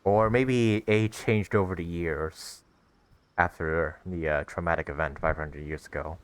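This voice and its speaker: A man's voice: deep voice, steady tone, a little slow. A man giving casual reaction commentary as a game streamer, musing aloud conversationally into a close mic.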